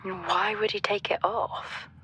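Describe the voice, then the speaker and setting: A woman's voice: mid-range voice, steady tone, takes it slowly, bright, forceful tone, breathy edge, heavily acted. A woman acting a cautious, questioning colleague who directs a search in a video game, with a matter-of-fact manner. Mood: uneasy.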